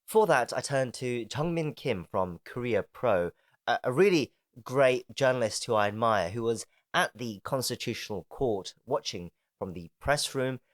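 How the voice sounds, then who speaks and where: A man hosting a politics podcast, reading a measured scripted intro, then interviewing a guest. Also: medium pitch, expressive, dry recording, studio quality. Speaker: podcast host. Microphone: video call.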